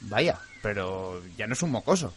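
A man's voice: on the low side, very animated, moderate pace, very noisy. A man playing a goofy, easygoing former villain in a comic radio play, with a theatrical delivery. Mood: grandiose. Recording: radio play with music underneath.

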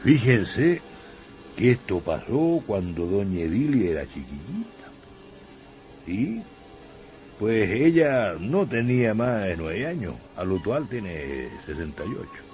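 Low, animated Chilean country storyteller. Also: male voice, slow, faint music behind. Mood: wry, chatty. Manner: colloquial, conspiratorial storytelling. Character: folksy country storyteller, chatty and wry. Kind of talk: radio drama narration.

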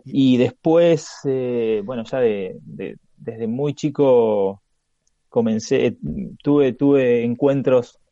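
A man in his forties, born in Chile with an Argentine Spanish accent, chatting as a podcast guest with many pauses and restarts. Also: mid-range voice, dark tone, dry recording, muffled recording. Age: 40s. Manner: hesitant, halting with frequent restarts. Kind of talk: casual conversation.

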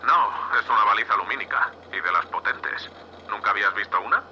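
A man playing a gruff, impatient spaceship captain in an audio drama, curt and scolding, with a Castilian Spanish accent. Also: fairly low pitch, a little fast, bright, forceful tone, lo-fi sound, a little hiss or hum. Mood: focused, tense.

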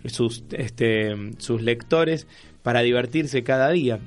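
A man's voice: mid-range voice, slow pace, a little hiss or hum. A man with a Rioplatense Argentine accent, chatting casually as a radio magazine host. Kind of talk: casual magazine-show chat. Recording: music playing under the voice. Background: background music.

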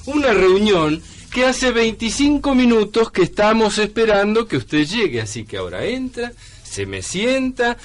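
An actor playing an irritable, sarcastic newsroom boss who scolds with mock politeness in a comic radio drama. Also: male voice, sits high, big pitch swings, moderate pace, few pauses. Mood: exasperated. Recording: noisy old radio broadcast.

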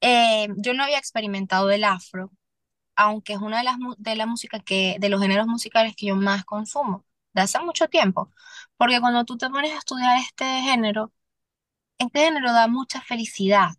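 A woman's voice: a little high, fairly bright tone, no room sound. Earnest Venezuelan woman singer. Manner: earnest, halting with restarts. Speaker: singer-songwriter guest. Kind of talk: candid podcast interview. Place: Miami.

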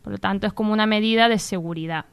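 A woman with a very clear, smooth voice in a dry studio sound. She chats through news items informally as a radio contributor, phrasing things haltingly. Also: mid-range voice, no room sound.

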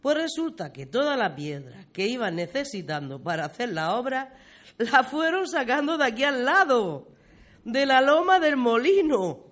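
A performer playing a talkative, fond old grandmother, reminiscing in folksy, expressive style in a community radio play. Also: high voice, moderate pace. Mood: chatty, storytelling. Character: talkative, fond old village grandmother telling local history.

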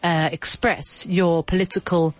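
A woman's voice: on the low side, flat delivery, measured pace, faint music behind. A woman hosting a casual bilingual chat, explaining English words, chatty and laughing, with a runny nose. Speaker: podcast host teaching English.

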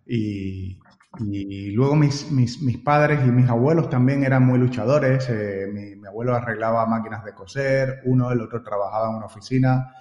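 A man from Cuba with a Cuban accent, answering interview questions in a relaxed way, often repeating words and pausing with "eh" while he thinks. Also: mid-range voice. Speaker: guest. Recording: roomy echo, long reverb, dull lo-fi sound.

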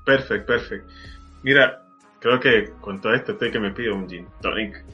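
A man's voice: fairly low pitch, normal speed. A man from Venezuela, hosting a podcast in a casual interview style. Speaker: podcast host. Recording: dull lo-fi audio, music behind. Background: background music.

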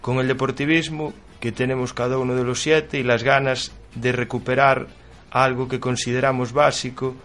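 A man from Galicia, a supporters' club officer explaining earnestly as a radio interview guest. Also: mid-range voice. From Galicia, Spain.

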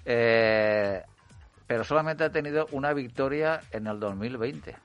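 A man's voice: mid-range voice, measured pace, muffled recording, faint music behind. A man hosting a cycling radio show, formal at the top and hesitant in later chat. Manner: hesitant, many restarts in chat. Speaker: radio host.

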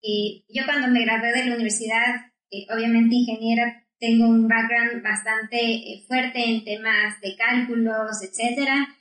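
A woman's voice: a little high, level tone, a little slow, hardly any pauses, fairly bright tone. A woman with a Quito Ecuadorian accent, a guest lecturer answering interview questions thoughtfully, with frequent fillers and self-corrections. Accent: Quito Ecuadorian Spanish. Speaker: guest, environmental engineering lecturer. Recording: roomy, distant mic.

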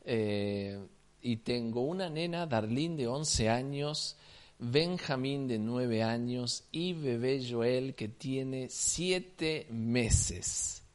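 A man in his thirties from Salta, Argentina, with a Salta accent, chatting as an interview guest in a slow, drawn-out tonada with many repetitions. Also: medium pitch. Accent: Salta Argentine Spanish. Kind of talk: casual interview chat.

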